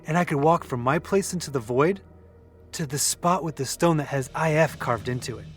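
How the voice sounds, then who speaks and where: A young man acting in an audio drama as a shaken young man who tells of things earnestly, with halting pauses. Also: fairly high pitch, soft background music, natural voice. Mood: awed, haunted. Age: young adult. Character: shaken young man recounting an eerie vision.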